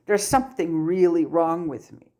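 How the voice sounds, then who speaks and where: A woman's voice: fairly low pitch, expressive, normal speed, warm tone, lightly acted. An earnest, dramatic teenage schoolboy wizard from a storybook, as read by a woman. He sounds clever and sincere, a bit lost when it comes to people. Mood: pleading, earnest.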